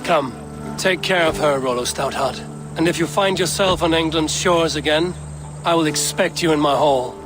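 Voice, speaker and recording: An actor playing a bold, determined young Viking leader in a video game, resolute and formal in speech. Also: male voice, on the high side, moderate pace, over music, lightly acted. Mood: solemn. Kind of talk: dramatic video game acting.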